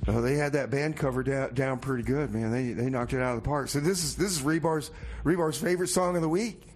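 A man's voice: medium pitch, fast, a little hiss or hum. A man hosting a community radio show in a casual, laid-back way, often repeating his phrases.

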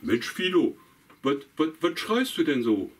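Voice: medium pitch, big pitch swings, unhurried. A puppeteer voicing the friendly, stammering companion of a dog in a puppet show. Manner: friendly, stammers, asks questions. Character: helpful, kindly friend of the dog.

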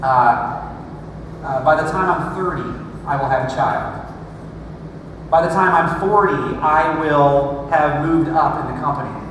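Distant echoey pastor preaching in a hall. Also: male voice, on the high side, measured pace, background noise. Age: 30s. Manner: conversational, self-deprecating humor. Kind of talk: sermon. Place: large church stage with screen. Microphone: room mic far from stage. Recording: echoey hall recorded from far off.

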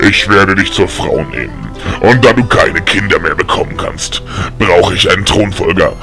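Man acting a cruel audiobook tyrant, deep, harsh, grating, menacing, commanding, music behind. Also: animated, moderate pace, vocal fry, bright, forceful tone, full character voice. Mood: cold menace.

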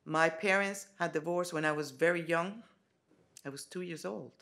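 Gravelly-voiced woman, halting speech. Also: on the low side, a bit bright. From Dominican Republic. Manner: halting, with many fillers and restarts. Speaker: college president. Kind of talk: forum address. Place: large college meeting room.

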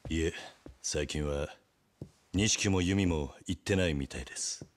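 Deep, stoic young yakuza lieutenant. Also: male voice, measured pace. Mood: respectful, reserved. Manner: terse, grave. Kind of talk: dramatic video game cutscene acting.

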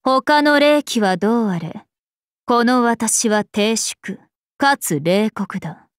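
Casting: ageless regal game princess, haughty and composed, measured pace, slightly high voice. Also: female voice, lively intonation, dry recording, studio quality. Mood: stern, cold. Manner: imperious, archaic, composed, aloof. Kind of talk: Japanese mobile game character voice lines.

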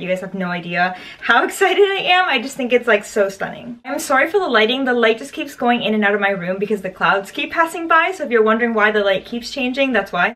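Woman, 20s, fairly high voice, gushing, chatty, casual vlog, bedroom, camera mic. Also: a bit bright. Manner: gushing, chatty, full of "like" and "stunning". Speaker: vlogger. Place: bedroom at home.

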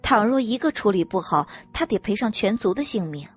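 A woman's voice: mid-range voice, big pitch swings, fairly quick. A woman reading scripted audiobook narration as the storyteller. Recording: music under the narration.

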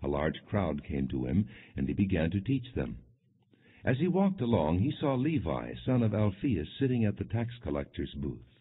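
A man reading scripture as an audiobook narrator, in a solemn, measured storytelling delivery with expressive quoted speech. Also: low voice, breathy edge. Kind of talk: solemn scripted Bible reading, non-dramatized. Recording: muffled, phone-like narrow band.

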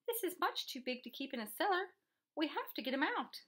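Storybook policeman, performed by a woman: brisk, astonished, helpful, with lively swooping delivery. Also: sits high, pitched above the performer's own voice, full character voice. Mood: amazed. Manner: exclamatory, brisk. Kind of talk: children's storybook character.

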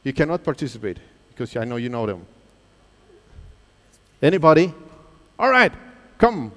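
A man's voice: a little high, normal speed. A man, presenting to a room of teachers and quizzing the crowd. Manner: lively, engaging, asks the crowd questions. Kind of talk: live quiz-style presentation to an audience. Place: large auditorium stage. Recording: reverberant hall sound.

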